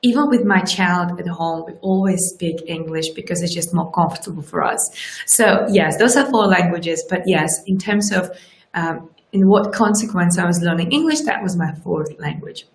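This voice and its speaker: A woman in her forties whose first language is Russian, speaking as a life coach in a lively live-streamed motivational talk and often checking in with "right?". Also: on the low side, moderate pace. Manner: lively, animated, keeps asking "right?". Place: small indoor room with curtains. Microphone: webcam at desk distance. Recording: roomy, echoey webcam audio.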